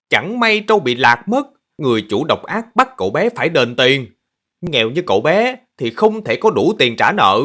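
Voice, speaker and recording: A man voicing an animated boy who tells stories to his friends in a children's cartoon. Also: fairly high pitch.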